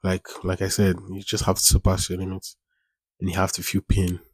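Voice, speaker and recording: A man's voice: low-pitched, monotone, fairly quick, dry recording. A man in his twenties hosting a casual solo podcast, rambling, repeating phrases and using informal slang. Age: 20s. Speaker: podcast host.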